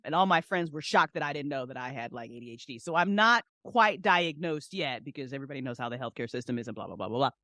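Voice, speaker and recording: A woman's voice: rumbling low, lively intonation, quick pace, hardly any pauses, sharp tone, dry recording, studio quality. A woman in her forties chatting casually as a podcast guest, talking in an informal, slangy, emphatic way. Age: 40s. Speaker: podcast guest, audio drama creator. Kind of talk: casual chat.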